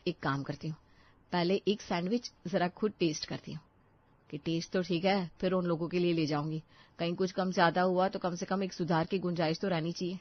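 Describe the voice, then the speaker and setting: A woman's voice: fairly low pitch, fairly even intonation, fairly quick, muffled recording, pitched below the performer's own voice, lightly acted. A woman voicing a young, eager new bride, polite and chatty in a dramatized family story. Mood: musing.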